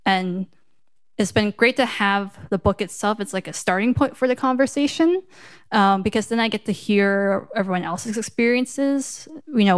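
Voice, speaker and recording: A woman's voice: mid-range voice, clean tone. A young woman from the US Midwest founded a book club and is speaking in a panel conversation on stage at a book festival. She talks thoughtfully and hesitantly, with many fillers.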